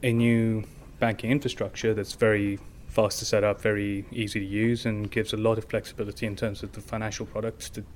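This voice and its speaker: A man's voice: on the low side, level tone, fairly quick, few pauses, a little hiss or hum. A young man, a startup founder, answering in a casual interview with long explanations full of fillers and restarts.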